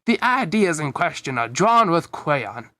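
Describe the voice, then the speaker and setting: A man reads fan fiction aloud in a casual, amateur way. His voice sits a little high and moves around a lot, and he drops in chuckling asides. The sound is dry and close. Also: big pitch swings, unhurried, bright, forceful tone, no echo. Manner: breaks off into self-commenting asides, chuckling.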